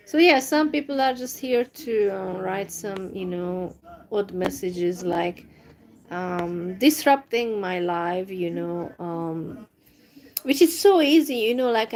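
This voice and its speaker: Slow, halting woman with non-native accent. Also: medium pitch. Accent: non-native English. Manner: hesitant, many fillers and restarts, soft laughs. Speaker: livestream host. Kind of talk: casual live-stream chat, reading messages. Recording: noisy background.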